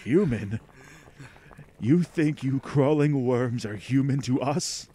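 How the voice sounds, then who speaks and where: A man's voice: medium pitch, slow, warm tone, slightly rough. A man playing a cold, hungry alien monster in a horror radio drama, commanding and hypnotic with contempt for humans. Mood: contemptuous, gloating. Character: cold, hungry alien monster, ancient and menacing male predator. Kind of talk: old-time horror radio drama acting.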